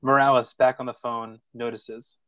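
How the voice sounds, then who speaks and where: A man's voice: medium pitch, little pitch movement, moderate pace, fairly bright tone, no echo. Narrator, male: mid-register, informal reading of stage directions, close dry sound. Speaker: narrator of a screenplay table read.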